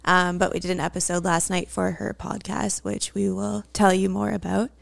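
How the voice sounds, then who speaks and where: A woman co-hosting a casual podcast, chatty and quick to laugh. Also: mid-range voice, flat delivery, creaky, no echo. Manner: giggly, chatty. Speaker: podcast co-host.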